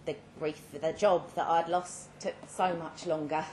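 Casting: female story reader, unhurried and dramatic, slightly low voice, recorded live in an echoing room. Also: fairly even intonation. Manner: measured, with dramatic pauses. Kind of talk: dramatic first-person narration. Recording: roomy live venue with faint background noise.

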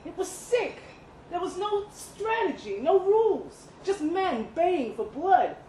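A woman reading a literary short story aloud at a live event, with expressive, deliberate phrasing and dramatic pauses. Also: extremely high pitch. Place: indoor live reading event at a pub venue. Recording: roomy live venue, some background noise.

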